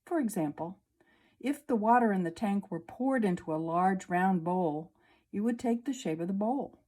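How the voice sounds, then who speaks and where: A woman's voice: mid-range voice, animated, mellow. Woman reading a science book aloud. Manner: slow, clear storybook reading. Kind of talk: read-aloud lesson for children. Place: at a table indoors, reading printed pages.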